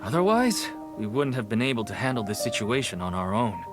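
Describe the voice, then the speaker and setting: A man's voice: on the low side, big pitch swings, brisk, over loud music. A man voicing a worn-out, relieved townsman in a video game.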